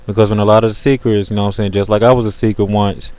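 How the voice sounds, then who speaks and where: A man's voice: fairly low pitch, flat delivery, racing, darkish tone. A man chatting casually on a webcam call in a slangy way, often repeating filler phrases as he makes his point. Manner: slangy, repeats "you know what I'm saying". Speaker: host of an online video call. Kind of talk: casual chat.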